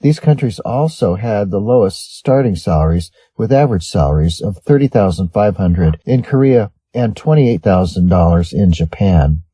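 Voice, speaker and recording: A man with a Western American accent reads scripted lesson material. His voice is very soft-edged and dark, somewhat low, with a husky grain. The recording is close and dry. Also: animated, moderate pace, hardly any pauses, no echo. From Western United States. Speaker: English test-prep reader. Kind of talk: scripted read-aloud of sample essays.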